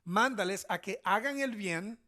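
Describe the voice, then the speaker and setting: A man's voice: sits high, measured pace, bright, forceful tone. A man with a Venezuelan accent giving a conversational teaching sermon as a pastor, often checking in with short tag questions. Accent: Venezuelan Spanish. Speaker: church pastor, preacher.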